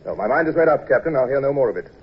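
An actor playing a brisk, blustering but humane British naval commodore in a radio drama, with an authoritative, clipped, theatrical delivery. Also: male voice, medium pitch, lively intonation, natural voice. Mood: firm. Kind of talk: old-time radio drama acting. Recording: dull, noisy old radio recording.

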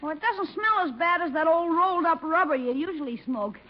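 A man's voice: extremely high pitch, a little fast. A man voicing a cheeky, nosy young nephew in an old radio comedy, teasing and wisecracking. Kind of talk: 1940s-50s radio comedy acting. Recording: dull, slightly noisy vintage radio recording.

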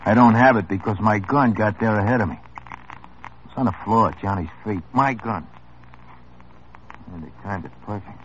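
An actor playing a small-time hoodlum in an old radio drama, tough, wry and world-weary. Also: male voice, on the low side, moderate pace, pauses often, slight background noise, lightly acted. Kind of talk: 1950s radio drama acting. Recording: muffled old radio broadcast.

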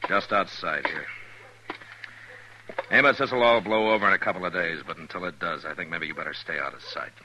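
An American actor playing a calm, fair-minded frontier marshal in a 1950s radio western, speaking plainly and with authority. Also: male voice, deep voice, muffled recording. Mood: measured, advising. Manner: measured, plain-spoken, authoritative. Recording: noisy old radio drama recording.

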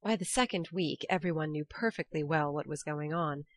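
A woman's voice: low voice, brisk, no room sound, studio quality. A woman reading scripted storybook narration as an audiobook narrator.

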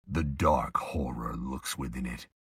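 A man with a husky voice narrating a dark audio drama slowly and ominously, with dramatic pauses. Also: extremely low pitch, dry recording, clean studio sound.

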